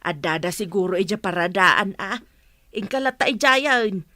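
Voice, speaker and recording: An actress playing a brisk, grumbling older mother-in-law in a radio drama. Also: medium pitch, lively intonation, pushed and bright, dry recording, clean studio sound. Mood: bossy, concerned.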